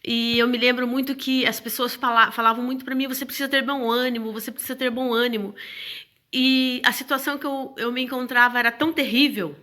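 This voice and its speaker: Hoarse woman sharing a bright, earnest devotional. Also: on the high side, steady tone, fairly quick. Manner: earnest, repetitive, preachy. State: hoarse from a cold. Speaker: churchgoer sharing a devotional message. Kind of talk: spoken devotional message.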